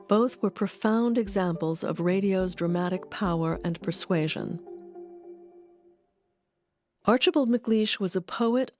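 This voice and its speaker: A woman narrates a documentary from a script at a middle pitch. The delivery is measured and articulate. Faint music runs underneath. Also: darkish tone, dry recording, clean studio sound.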